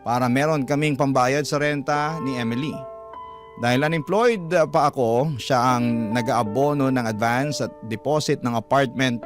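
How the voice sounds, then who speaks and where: An actor playing a struggling young Filipino man, narrating his troubles in measured, scripted radio drama style. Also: mid-range voice, natural voice.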